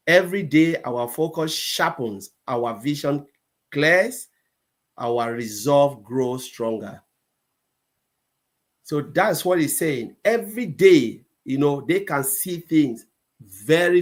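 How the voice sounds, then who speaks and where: A man hosting a gathering and giving closing remarks, halting often and filling pauses with repeated words. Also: fairly high pitch. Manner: halting, many fillers and restarts. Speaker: host.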